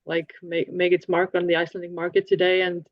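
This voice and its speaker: A woman's voice: lowish voice, monotone, brisk, dry recording. A woman introducing her music company on a panel, chatty with fillers and restarts, talking over a video call. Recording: dry, dull lo-fi call audio.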